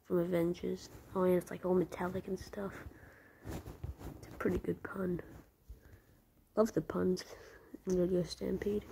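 A woman with a croaky voice, chatting in half-whispers while commenting on a mobile game she plays. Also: fairly low pitch, level tone, measured pace, pauses often, mellow, slight background noise, low-quality recording. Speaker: gameplay commentator.

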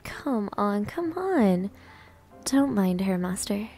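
Woman acting a breathy, teasing anime maid. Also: medium pitch, very animated, slow, exaggerated voice. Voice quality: breathy, soft. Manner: coy, teasing. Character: teasing, confident senior maid, playful and flirty. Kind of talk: anime-style ASMR roleplay acting. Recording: music behind the voice.

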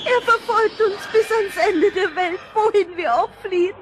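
A woman's voice: very high voice, moderate pace. A woman playing a frightened, despairing little girl in a children's radio play, plaintive and tearful. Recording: very noisy vintage record.

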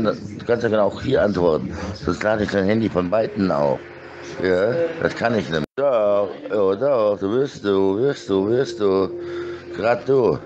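Middle aged guy, really low voice, rambles and repeats himself, muffled lofi phone sound with crowd noise behind. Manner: repetitive, insistent, disjointed. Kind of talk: casual, rambling monologue.

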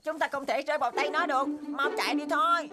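A cartoon young donkey, voiced by a woman, speaks in a high, boyish voice over prominent background music. The character is naive and stubborn, with a whiny, boastful way of talking. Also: quick pace, lightly acted. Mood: frightened. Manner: boastful, whiny, talks to himself. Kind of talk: animated fairy-tale voice acting.

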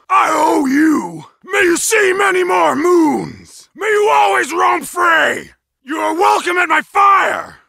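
An actor playing a savage, boastful berserker warrior in a video game, with a gravelly voice and bellowed battle lines. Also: male voice, very high voice, no echo, clean studio sound, heavily acted. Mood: fierce, hearty respect. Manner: forceful, bellowing exclamations.

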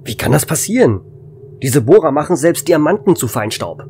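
A man's voice: a little high, very animated, normal speed, darkish tone, slight background noise. A man playing a tense space station operator in an amateur German sci-fi audio drama. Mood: alarmed.

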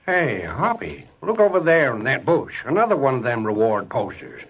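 A grumbling, eager old male cowboy sidekick from a vintage radio western. His voice is raspy and leaps around animatedly, with a folksy Western drawl and comic greed. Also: medium pitch, huge pitch swings, normal speed, poor audio. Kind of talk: 1940s-50s radio western acting.

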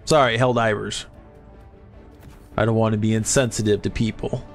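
A man's voice: lowish voice, little pitch movement, normal speed, soft background music. A man from Arkansas in his thirties with a light Southern accent, streaming a game from a home gaming room and chatting loosely with viewers, swearing often. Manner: loose, profane, laid-back banter. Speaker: Twitch streamer. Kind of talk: casual live-stream chat with chat.

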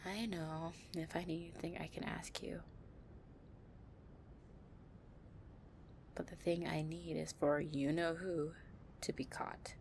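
A woman's voice: low-pitched, little pitch movement, moderate pace, vocal fry, darkish tone, a little hiss or hum. A woman playing a tough but tender, anxious delinquent girlfriend in an intimate roleplay audio, murmuring breathily.

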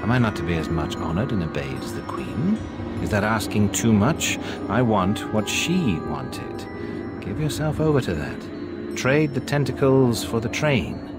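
An actor playing a self-important, sinister man who coaxes and demands obedience in a dark video game. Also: fairly low pitch, sing-song, measured pace, over loud music. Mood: coaxing, threatening. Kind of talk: dramatic video game acting.

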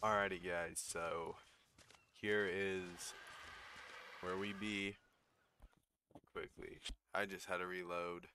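Hoarse male gaming commentator, deep gravelly tone, noisy home recording. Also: takes it slowly, long pauses. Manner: excitable, swearing when startled. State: losing his voice, hoarse. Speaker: gaming YouTuber. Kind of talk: casual gameplay commentary.

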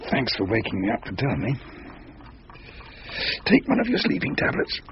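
An actor playing a sceptical, exasperated husband in a thriller radio drama, with a dry, sardonic, practical manner. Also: male voice, fairly low pitch, huge pitch swings, moderate pace. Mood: sleepy, sarcastic. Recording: dull lo-fi radio recording, faint noise.

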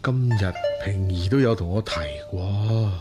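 An actor playing a doting, anxious wealthy husband in a radio drama, given to sighing. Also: male voice, on the low side, a little slow, music in the background, natural voice. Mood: worried. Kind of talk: Cantonese radio drama acting.

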